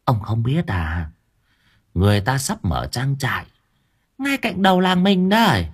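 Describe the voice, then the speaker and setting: A man voicing a sharp-tongued, scheming village wife who nags her husband and plots in low, coaxing tones. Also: very animated, a little slow, slightly rough, full character voice. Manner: nagging, conspiratorial, whispers and cajoles.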